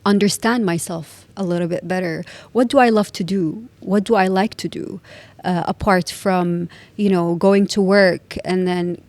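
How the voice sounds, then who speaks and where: Woman in her 30s, from the emirates, podcast guest, earnest and reflective, lowish voice, dry close sound. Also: fairly even intonation, a little mellow, no echo. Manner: earnest, thoughtful, speaks in measured repeated phrases.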